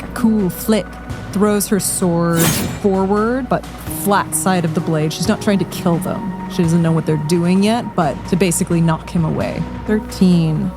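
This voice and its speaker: A woman playing a tabletop game, dramatically narrating her character's actions. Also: fairly low pitch. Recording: music behind the voice. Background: music.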